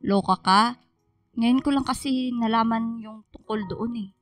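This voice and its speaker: Woman acting plaintive young Filipina student, higher voice. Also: unhurried, dry recording, soft background music, lightly acted. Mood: upset. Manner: plaintive, emotional. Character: troubled, stubborn young female student, a daughter. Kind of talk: radio drama acting.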